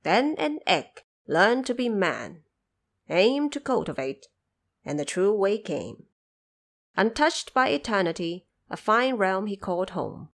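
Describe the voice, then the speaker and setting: Female storyteller for a classic fantasy audio drama: medium pitch, highly varied melody, dramatic deliberate delivery. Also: big pitch swings, vocal fry. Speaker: storyteller, narrator. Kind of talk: scripted dramatic narration of a classic fantasy tale.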